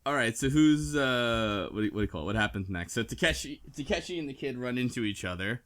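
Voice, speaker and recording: A man hosting a podcast, recapping casually in a conversational, slightly halting way. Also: medium pitch, expressive, background noise. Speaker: book club podcast host.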